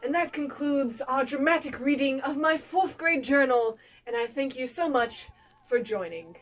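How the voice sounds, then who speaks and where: A young woman giving a mock-dramatic, deliberately hammy reading for her video audience, with drawn-out emphasis. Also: high voice, faint music behind. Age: young adult. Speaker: video creator presenting to subscribers.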